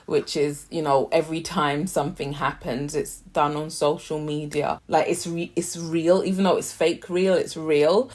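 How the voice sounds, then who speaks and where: A young British woman casually reviewing a TV series, chatty and slangy with animated asides. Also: deep voice. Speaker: YouTube TV reviewer.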